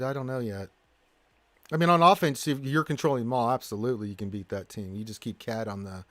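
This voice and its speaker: Animated American man hosting a gaming stream. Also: medium pitch. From Houston, Texas, United States. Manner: animated, jokey, exclaiming. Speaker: gaming livestream host. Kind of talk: casual livestream chat, reading and answering viewer comments.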